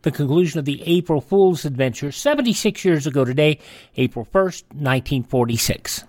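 A man's voice: fairly high pitch, expressive, brisk, a little mellow, no echo. A man hosting a radio show, reading scripted news and programme introductions. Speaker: radio host.

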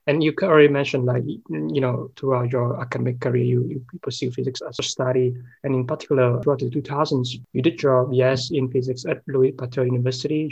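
A man's voice: mid-range voice, vocal fry, a little mellow, slightly rough, highs cut off. A man hosting a podcast interview, reading the guest intro and asking questions padded with "you know". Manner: reads the intro, then asks with "you know" fillers. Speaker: podcast host.

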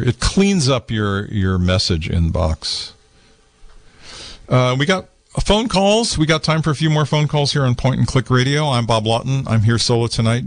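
A man with a fairly low voice hosts a call-in tech show on a studio microphone, and the sound is close and dry. He talks in a relaxed, meandering way, circling back with plenty of fillers and restarts. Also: dry recording. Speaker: radio call-in show host. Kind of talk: casual call-in tech chat. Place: small satellite radio studio. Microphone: studio microphone with headphones.

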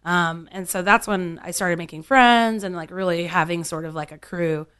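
A woman's voice: fairly low pitch, fairly even intonation, moderate pace, a bit bright, clean tone. A woman of thirty-eight from California, a bartender chatting casually in an interview, with loose phrasing full of "like" and "um". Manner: chatty, loose, many fillers like "like" and "um". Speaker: bartender, guest.

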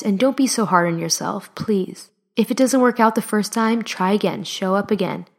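Solo podcast host, young woman, passionate and encouraging, a touch hoarse, speaking into a studio mic. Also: mid-range voice. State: voice slightly hoarse. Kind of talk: motivational solo podcast talk. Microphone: studio microphone.

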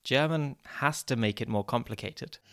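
A man hosting a podcast, explaining points clearly in a lightly wry way. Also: medium pitch, normal speed, a bit bright. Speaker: podcast host.

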